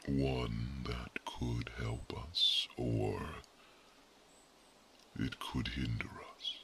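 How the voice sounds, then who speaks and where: A man voicing a wise, devoted male mage who reads minds, speaking in a soft, formal whisper for an ASMR roleplay. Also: a bass voice, little pitch movement, extremely slow, vocal fry, slight background noise, exaggerated voice. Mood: tender.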